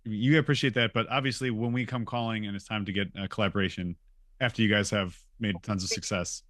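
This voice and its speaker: Jokey podcast cohost guy, talks fast, bright punchy voice, casual banter. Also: lowish voice, fairly even intonation, dry recording, studio quality. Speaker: podcast co-host.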